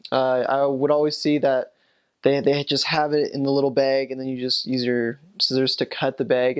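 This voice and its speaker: A man's voice: mid-range voice, vocal fry. A young man, a returned missionary, recalling his mission in a rambling, casual way with many fillers and restarts. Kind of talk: casual recollection.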